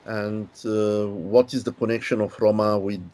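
Unhurried older man on a video call. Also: on the low side, level tone, a little mellow. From Bulgaria. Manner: measured, unhurried, with pauses and fillers. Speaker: guest, linguistics professor. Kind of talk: explanatory interview answers. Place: home study with bookshelves.

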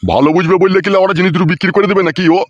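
A man's voice: sits high, expressive, racing, no echo. A man playing a questioning middle-aged village husband and father in a comedy cartoon. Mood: protesting. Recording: muffled radio-like sound.

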